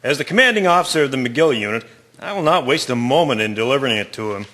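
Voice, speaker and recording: Bright-voiced, formal army colonel, played by a man. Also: mid-range voice, animated, quick pace. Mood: pleased, purposeful. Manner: formal, declamatory phrasing. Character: hearty, caring male colonel, wartime army commanding officer. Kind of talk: radio drama acting.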